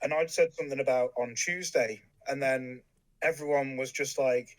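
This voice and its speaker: A young man with an English accent, a guest in a casual chat, relaxed with lots of ums and dry humor. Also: mid-range voice, moderate pace. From England. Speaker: podcast guest.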